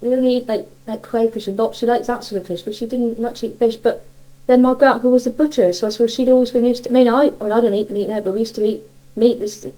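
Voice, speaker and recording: A woman's voice: fairly high pitch, fairly even intonation, fast, dark tone, poor audio. An older woman from Cornwall with a Cornish accent, casually reminiscing in a chatty, rambling, nostalgic way. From Cornwall, England. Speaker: interviewee sharing childhood memories.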